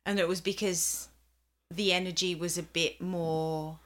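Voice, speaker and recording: Slow-talking, lowish-voiced woman. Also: fairly even intonation, a bit bright. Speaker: podcast co-host. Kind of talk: casual chat.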